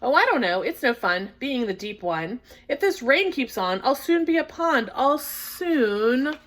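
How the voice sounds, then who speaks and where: A woman's voice: sits high, very animated, unhurried, fairly bright tone, exaggerated voice. A woman voicing a chubby, self-pitying rain puddle in a children's storybook, complaining in a wry, theatrical way. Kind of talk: children's storybook first-person character.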